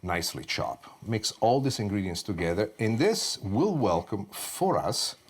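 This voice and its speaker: A man hosting a cooking show from his kitchen as a TV chef, speaking with passion and expression and often pausing on "uh". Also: fairly low pitch, breathy edge. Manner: passionate, expressive delivery with frequent "uh" fillers. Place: home kitchen.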